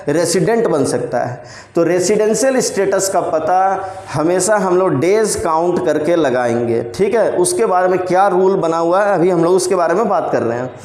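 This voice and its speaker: An Indian man gives a commerce lecture in a fairly high voice, going slowly and repeating each point so students can follow. His voice rings with the echo of a bare room. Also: darkish tone. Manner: explains slowly, repeats points, frequent "theek hai" check-ins. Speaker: commerce teacher. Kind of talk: classroom lecture on tax rules. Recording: roomy echoey room sound.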